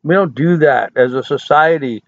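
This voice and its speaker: An older man hosting a casual live stream, hoarse from hours of talk, folksy and rambling as he answers chat comments. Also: on the high side, moderate pace, dry recording. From United States. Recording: dry, tinny phone-like audio.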